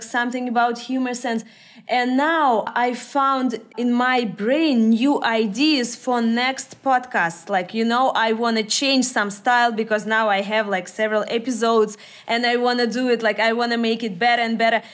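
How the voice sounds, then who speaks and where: A woman with a Russian accent hosting a podcast interview, effusive and halting, with many fillers as she searches for words. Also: on the high side. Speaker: podcast host. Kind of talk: casual interview chat.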